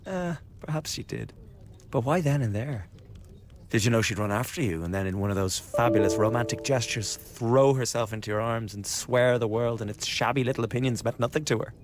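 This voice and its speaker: An actor playing a glib, scheming young revolutionary in a radio drama, chatty and insinuating with a blackly flippant manner. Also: male voice, mid-range voice, fairly quick, close to an everyday voice. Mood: mocking, animated. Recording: studio radio drama with background ambience.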